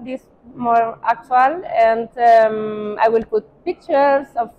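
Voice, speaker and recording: A woman's voice: on the high side, steady tone, takes it slowly. A middle-aged woman, not a native English speaker, chatting casually as an interview guest and searching for words. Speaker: interview guest, medical doctor. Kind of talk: casual chat. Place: large indoor hotel hallway and walkway, while walking. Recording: dull sound with clearly noisy ambience.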